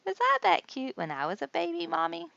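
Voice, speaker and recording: A woman's voice: very high-pitched, huge pitch swings, a little fast, gravelly, sharp tone, dry recording, highs cut off, pitched above the performer's own voice, full character voice. A woman voicing a sweet, curious little monster boy from a children's storybook, eager and innocent. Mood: tender.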